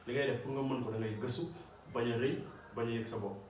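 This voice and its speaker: A man's voice: medium pitch, fairly even intonation, fast, slight background noise. A man with a Senegalese accent, an electrician giving an earnest motivational talk to his audience and repeating his points for emphasis. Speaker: electrician and channel host. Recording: roomy echo, distant mic, faint ambience.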